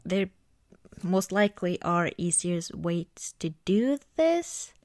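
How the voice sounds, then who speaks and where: A woman doing an ASMR roleplay as an affectionate girlfriend, chatting casually and hesitantly in a soft, intimate way. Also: fairly low pitch, big pitch swings, slow, no room sound, studio quality. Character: affectionate, cozy girlfriend baking at Christmas.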